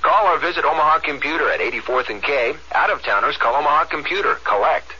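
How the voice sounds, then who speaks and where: A man reading a scripted radio commercial as an announcer, with a forceful, raspy voice. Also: a little high, animated, quick pace. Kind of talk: scripted ad read. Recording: muffled radio broadcast.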